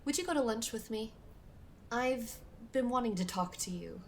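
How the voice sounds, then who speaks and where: A woman playing a friendly, lonely high school senior in an audio drama, earnest and candid. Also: lively intonation, moderate pace, a little hiss or hum. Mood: friendly, hesitant.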